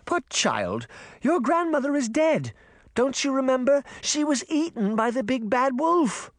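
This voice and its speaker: A man putting on a high, sneering wicked queen. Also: very animated, measured pace, no echo, studio quality, exaggerated voice. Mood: mock pity. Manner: mock-sweet and condescending. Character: sinister queen, a cruel adult woman.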